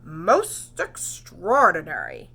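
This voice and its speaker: A woman voicing a brisk, pompous male doctor in a storybook, exclaiming and questioning in a deliberate clinical manner. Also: sits low, huge pitch swings, vocal fry, pushed and bright, breathy edge, pitched below the performer's own voice, heavily acted. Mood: astonished, probing.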